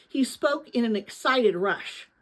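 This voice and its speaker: Lively, high-voiced woman reading a story. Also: slow pace. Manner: expressive, animated storytelling. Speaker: audiobook-style reader of a children's novel. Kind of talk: dramatic scripted story narration.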